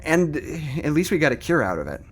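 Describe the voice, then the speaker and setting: A man's voice: fairly high pitch, wildly swooping pitch, fairly quick. A man playing a nervous, hopeful researcher in a naturalistic audio drama, earnest and insistent with a stammer. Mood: subdued, regretful.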